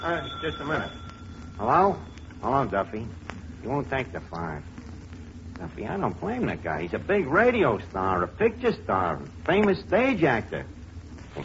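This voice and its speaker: Bumbling New tavern manager, swooping delivery. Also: male voice, medium pitch, huge pitch swings, a little slow, pauses often, a bit bright, full character voice. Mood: scheming, haggling. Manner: hesitant, colloquial, mangles grammar. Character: bumbling fast-talking male tavern manager, mangling words. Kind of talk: 1940s radio comedy acting. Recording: muffled, noisy vintage radio recording.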